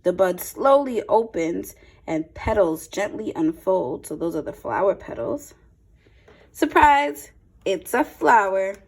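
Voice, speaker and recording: A woman teacher reading a picture book aloud to young children, with expressive phrasing and simple explanatory asides. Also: mid-range voice, takes it slowly, breathy edge, low-quality recording.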